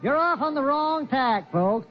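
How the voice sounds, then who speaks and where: A folksy small-town sheriff from a vintage radio play. His voice is high and very animated, jovial but evasive, with hems and chuckles. The recording is dull and old, with faint music. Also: a little slow. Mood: folksy, deflecting. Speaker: radio drama actor. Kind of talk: old-time radio acting.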